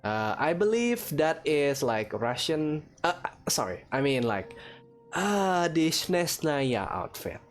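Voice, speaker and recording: A man's voice: on the high side, big pitch swings, takes it slowly. A man giving casual, rambling, jokey let's play commentary as a gaming host. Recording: music under the voice. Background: game music.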